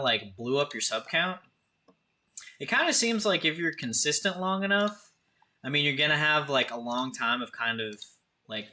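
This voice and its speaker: Very bright-voiced man chatting casually. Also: medium pitch. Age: 30s. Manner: informal, conversational, addresses chatters directly. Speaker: live streamer, host. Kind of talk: casual livestream chat with viewers.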